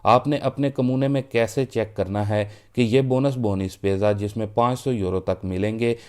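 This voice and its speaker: A man's voice: lowish voice, steady tone, normal speed, a little mellow. A man presenting a news roundup for his online channel, reading the updates steadily and addressing viewers as friends. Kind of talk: read news update in Urdu.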